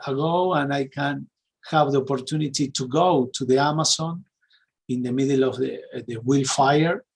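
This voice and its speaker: A middle-aged man with an Argentine Spanish accent presents a webinar informally, searching for words in his second language. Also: mid-range voice. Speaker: sales and marketing executive, webinar presenter.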